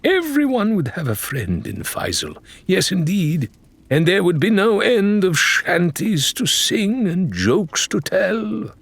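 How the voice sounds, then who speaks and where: A man's voice: sits high, gravelly, a bit bright, voice pushed up, full character voice. A man voicing an exuberant, good-humoured pirate in an audiobook, with florid, emphatic, lilting phrasing. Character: exuberant, good-humoured, cautious male pirate who loves taverns. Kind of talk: audiobook character voice.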